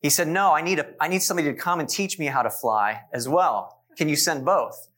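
A man's voice: fairly high pitch, brisk. A man in his forties preaching in church, telling stories with asides to the congregation. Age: 40s. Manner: warm storytelling with asides to the congregation. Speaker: pastor. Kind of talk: sermon.

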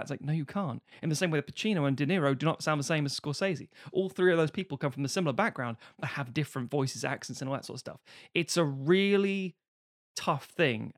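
A man co-hosting a podcast, ranting in casual chat and swearing freely. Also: on the high side. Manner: swears freely, animated rants. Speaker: podcast co-host.

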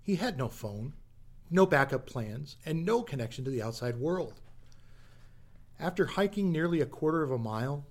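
A man narrating an adventure radio drama in a theatrical, scripted storytelling manner. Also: medium pitch.

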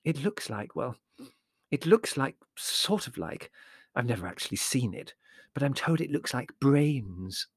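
A man's voice: mid-range voice, very animated, unhurried, slightly rough, no echo, pitched above the performer's own voice. A man voicing a nervous, gossipy middle-aged woman in a storybook, earnest, confiding and emphatic, easily exasperated. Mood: earnest alarm.

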